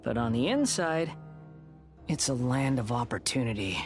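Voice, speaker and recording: A man's voice: medium pitch, animated, moderate pace, natural voice. An actor playing a smug young male villain in a video game, mocking, theatrical and condescending. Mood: cold, gloating. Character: smug, sneering young male villain, a high-ranking harbinger. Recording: music behind.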